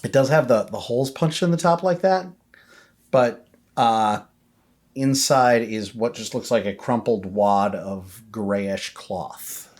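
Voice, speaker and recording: A man narrating a tabletop game as game master, conversational and improvised, with a halting flow. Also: on the low side, darkish tone.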